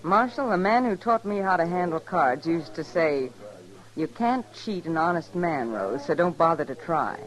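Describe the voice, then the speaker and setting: A woman's voice: fairly low pitch, animated, normal speed, natural voice, poor audio. A woman acting a hard, guarded young female gambler in a radio western, with an American accent and a cool, matter-of-fact delivery. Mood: cool, frank. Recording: old radio recording, crowd behind.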